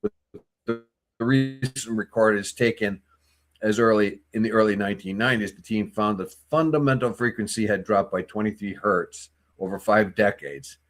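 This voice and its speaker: Low-voiced talk show co-host. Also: male voice, normal speed, faint music behind. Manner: rambling, opinionated, many restarts. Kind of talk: casual news talk.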